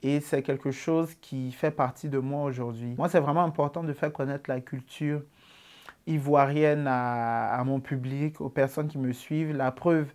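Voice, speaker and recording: A man in his twenties with an Ivorian accent, answering interview questions about his comedy in a relaxed way, with many fillers. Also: medium pitch, moderate pace. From Ivory Coast. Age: 20s.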